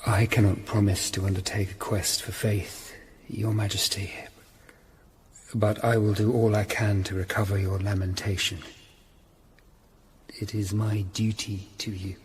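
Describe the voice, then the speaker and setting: An actor playing a grave, dutiful Tudor lawyer-detective in a radio drama, speaking in a measured, formal and earnest way. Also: male voice, on the low side, level tone, a little hiss or hum, natural voice. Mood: earnest, deferential. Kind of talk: radio drama acting and first-person narration.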